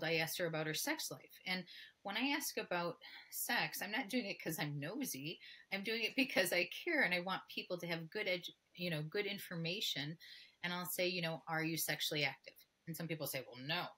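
A woman's voice: lowish voice, bright, forceful tone, husky. A middle-aged woman talking candidly as a guest expert in a podcast interview over a video call, often acting out bits of dialogue. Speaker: guest expert, pelvic floor physical therapist. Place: indoor room, video call.

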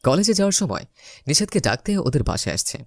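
A man's voice: a little high, very animated, brisk, no echo, studio quality. A young man narrating a romantic comedy story in the first person, theatrically acting out the lines. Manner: theatrical, acts out his lines.

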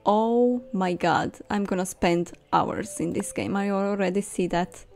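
A young woman giving casual gameplay commentary, expressive and musing to herself. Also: medium pitch, unhurried. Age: young adult. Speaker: video game streamer. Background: soft game music.

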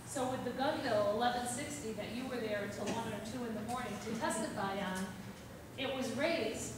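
A middle-aged woman explains how the legislature works, in a firm, plain-spoken way with a slightly high voice. She sounds far from the mic in a long-echoing meeting hall with a lot of background noise. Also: brisk. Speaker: state legislator. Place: town hall meeting room. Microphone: far room camera. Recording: echoey hall, distant mic, noisy.

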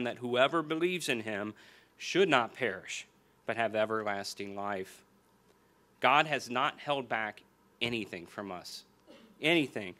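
A man in his forties with an American accent preaching a sermon and reading scripture at a lectern, slowing down and repeating key phrases for emphasis. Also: mid-range voice, bright, forceful tone. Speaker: pastor. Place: church hall stage at a lectern. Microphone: small stand mic on the lectern.

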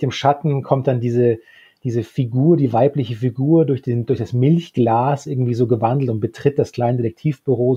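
Man in his thirties, very husky, mellow, casual podcast co-host, self-interrupting storyteller. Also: mid-range voice, dry recording. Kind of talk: casual discussion and retelling of an audio drama. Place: small book-lined home room, indoors, remote video call. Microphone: handheld-style recorder close on desk. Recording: dull, muffled podcast recording.

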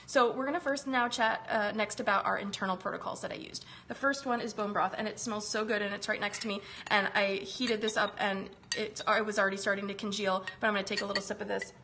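A woman's voice: mid-range voice, steady tone, fast talker, hardly any pauses, bright, forceful tone, slight background noise. A woman in her thirties chatting on a live stream from her home kitchen, recovering from a chest virus and greeting viewers by name. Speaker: wellness live-stream host. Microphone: phone camera close up.

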